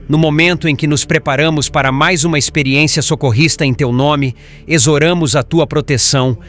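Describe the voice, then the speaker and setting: Man voicing a higher-pitched, reverent spirit guide. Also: a bit bright, lightly acted. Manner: solemn, reverent, formal. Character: devout, humble male spirit guide leading a prayer. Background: background music.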